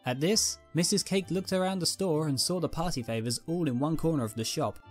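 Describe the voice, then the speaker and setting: A woman's voice: deep voice, brisk, husky, faint music behind. A woman narrating a scripted fan fiction story as its reader.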